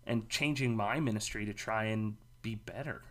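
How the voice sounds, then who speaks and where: A man co-hosting a casual church discussion, speaking in an earnest, emphatic way and rising in pitch to stress his points. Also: moderate pace, slight background noise. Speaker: podcast co-host, minister.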